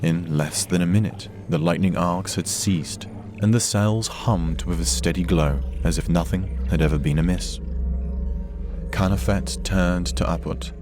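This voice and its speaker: A man with a very low voice narrates scripted fiction. His telling is measured and solemn, and music plays clearly behind him. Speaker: story narrator.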